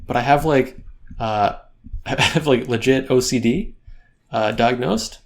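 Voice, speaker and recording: A man's voice: mid-range voice, unhurried. A man co-hosting a podcast in casual chat, teasing and cracking jokes with frequent laughs. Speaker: podcast co-host.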